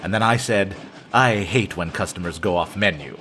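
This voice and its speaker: An actor playing a weary, deadpan waiter on a train. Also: male voice, low voice, moderate pace, slight background noise. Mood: exasperated. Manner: deadpan and exasperated.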